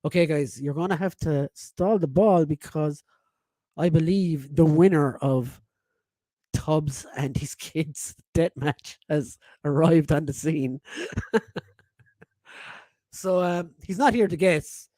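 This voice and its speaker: A man's voice: on the high side, slow, pauses often, mellow, no room sound. A man in his forties hosting a casual live-stream podcast, reading chat messages aloud, laughing often and swearing freely. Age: 40s.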